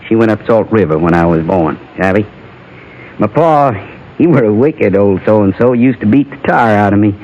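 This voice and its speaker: A man's voice: fairly low pitch, animated, moderate pace, a little mellow, lightly acted. An American actor playing a bitter, wistful condemned outlaw in his thirties, with a rustic frontier drawl, in an old radio western. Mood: rueful, proud. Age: 30s. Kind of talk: 1950s radio western acting. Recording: dull lo-fi vintage radio recording.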